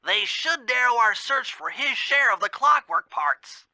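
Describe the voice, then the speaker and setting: A young, brainy turtle sidekick, nervous and precise. The voice is nasal, high and very bright, recorded dry for a cartoonish game. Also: male voice, expressive, unhurried, dry recording, full character voice. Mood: earnest briefing. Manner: earnest, precise, easily panicked. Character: nerdy, anxious young male turtle brain.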